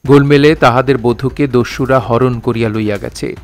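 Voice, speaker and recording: A man's voice: mid-range voice, fairly even intonation, moderate pace. A man narrating an audio drama in a formal, literary storytelling manner.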